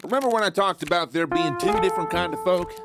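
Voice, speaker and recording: A man's voice: high voice, fast talker. A man playing a sly, cocky Old West outlaw who narrates his own tale in a folksy, teasing, conversational way. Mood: sly, confident. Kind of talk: first-person storytelling narration in audio drama. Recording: loud music under the voice.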